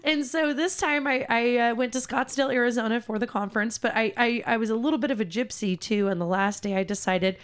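Giggly hoarse young woman podcast co-host. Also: on the high side, brisk, few pauses. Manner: giggly, rambling with many fillers. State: slightly hoarse from lost voice. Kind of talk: casual chat.

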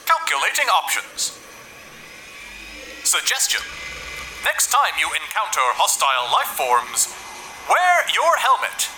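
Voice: mid-range voice, huge pitch swings, takes it slowly, long pauses, bright, forceful tone, slight background noise, exaggerated voice. An actor voicing a polite, unhelpful spacesuit computer that speaks in formal, literal machine phrases. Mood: prim, obliging. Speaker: suit computer. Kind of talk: audio drama acting. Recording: music under the voice.

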